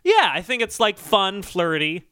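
A man's voice: high voice, sing-song, unhurried, fairly bright tone, smooth, no room sound. A man co-hosting a podcast with casual, joking banter. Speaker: podcast co-host.

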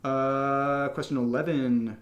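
A man's voice: mid-range voice, steady tone, takes it slowly, lo-fi sound. A young man co-hosting a podcast, chatting casually and jokingly as he takes listener questions. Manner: playful, joking, informal. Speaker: podcast co-host, actor and writer.

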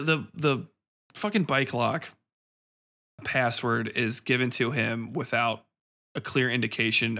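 A man's voice: medium pitch, sharp tone. A man hosting a review podcast, talking casually and off the cuff in a blunt, colloquial way with plenty of swearing.

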